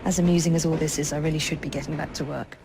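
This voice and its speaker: A woman's voice: deep voice, steady tone, rapid-fire, creaky, noisy background. An actress with an English accent playing a dry-witted, composed young Victorian woman in a video game cutscene. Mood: polite, wry. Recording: music behind the dialogue.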